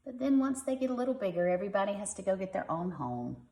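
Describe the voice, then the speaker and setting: A woman's voice: mid-range voice, very animated, quick pace, warm tone, reverberant. A woman reading a picture book aloud to young children like a teacher, expressively and with simple explanatory asides.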